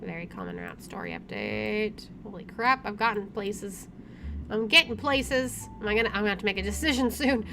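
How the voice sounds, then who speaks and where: A woman's voice: medium pitch, sing-song, normal speed. A woman doing a let's play, reading story text aloud expressively with informal asides. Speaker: gaming YouTuber. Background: game music.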